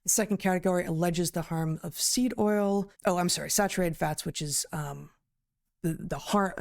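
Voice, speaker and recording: A woman's voice: on the low side, moderate pace, creaky, no echo, clean studio sound. A woman co-hosting a podcast, talking through an article conversationally, correcting herself and leaning on key words. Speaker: podcast co-host, biologist.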